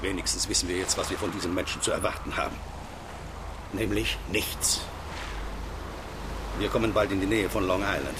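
Man acting a low-voiced defiant captive, loud noise. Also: fairly even intonation, natural voice. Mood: bitter, plotting. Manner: blunt, terse. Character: defiant, hot-headed male captive bent on escape. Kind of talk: German radio drama acting. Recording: loud rushing sea-like noise behind.

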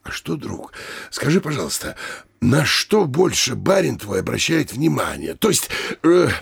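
An actor playing a fussy, ingratiating provincial mayor in a classic Russian comedy audio play, wheedling repetitively and snapping irritably at interruptions. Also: male voice, medium pitch, big pitch swings, unhurried, vocal fry, husky, full character voice. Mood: ingratiating, coaxing. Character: fussy, anxious, ingratiating provincial mayor, a bullying older official.

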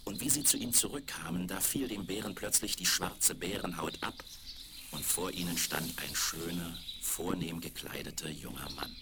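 Deep, gravelly male fairy-tale narrator. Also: moderate pace. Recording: crackly, very noisy 1970s vinyl record.

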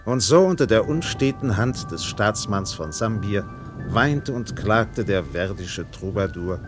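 Narrator for radio play: man, low voice, measured literary delivery over music. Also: lively intonation. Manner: measured, storytelling.

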